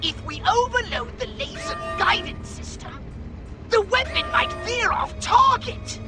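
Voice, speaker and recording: An actor playing a melodramatic, fretful alien commander in a video game, gravelly and declamatory. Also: male voice, very high-pitched, expressive, measured pace, music in the background. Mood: urgent. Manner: theatrical, declamatory. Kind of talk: video game cutscene acting.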